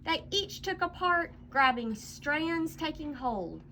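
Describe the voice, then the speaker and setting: Bright, high-pitched woman reading a storybook. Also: slight background noise, low-quality recording. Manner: expressive, dramatic storytelling with drawn-out emphasis. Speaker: read-aloud storyteller. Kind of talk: children's picture book read aloud.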